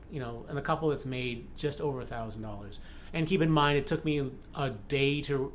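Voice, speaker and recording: A man's voice: medium pitch, a bit bright, slight background noise. A man from the Bahamas chatting casually in a vlog update, rambling with frequent fillers and self-corrections. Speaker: romance author and vlogger.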